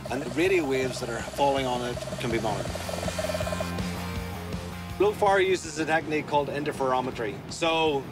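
A man, presenting and explaining science in plain terms. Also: fairly high pitch. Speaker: presenter and truck driver. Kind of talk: explaining science to camera and passenger.

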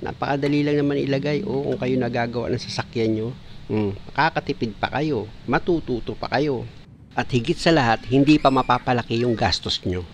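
A man's voice: mid-range voice, fairly quick, slight background noise. A man, a mechanic narrating a do-it-yourself brake repair and explaining each step to viewers. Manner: explanatory, calls viewers "mga boss". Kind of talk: DIY repair tutorial voice-over.

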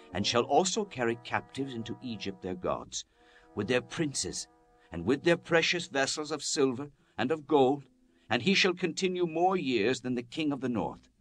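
Solemn man reading scripture, lively intonation. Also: medium pitch. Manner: solemn, measured, declamatory. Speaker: Bible narrator. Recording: music bed under the voice. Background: background music.

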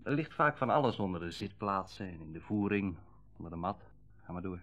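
Low-voiced, musing middle-aged car dealer. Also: male voice, animated, fairly quick, fairly bright tone, highs cut off, faint music behind. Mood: confiding. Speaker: actor in a radio drama. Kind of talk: Dutch radio play acting, naturalistic dialogue.

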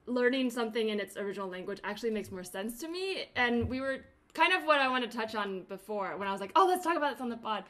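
A woman's voice: fairly high pitch, expressive, fast talker, few pauses, fairly bright tone. Chatty woman podcast host. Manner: chatty, laughs often, many fillers and restarts. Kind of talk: casual interview chat. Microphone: remote video call.

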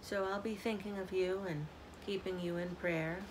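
An older woman, a community minister, giving a gentle spiritual reflection on an outdoor porch, filmed by a camera close up. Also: fairly low pitch, steady tone, noisy background. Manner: slow, soft-spoken, reflective. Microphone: camera at close range. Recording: outdoor ambience audible behind.

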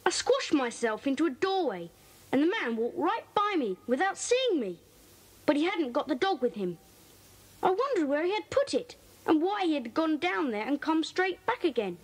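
Eager, high-voiced English schoolboy. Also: female voice, big pitch swings, normal speed. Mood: earnest, intrigued. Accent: British. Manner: earnest, detailed storytelling. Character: schoolboy Colin, eager earnest young amateur detective. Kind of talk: children's audio drama acting.

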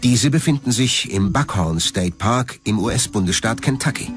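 A man's voice: lowish voice, lively intonation, moderate pace. Male documentary narrator over music. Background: background music.

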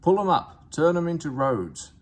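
A man's voice: a little high, big pitch swings, normal speed, breathy edge, pitched above the performer's own voice. A man voicing a rude, blustering, scornful steamroller in a children's storybook, with a taunting manner. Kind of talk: children's storybook read-aloud.

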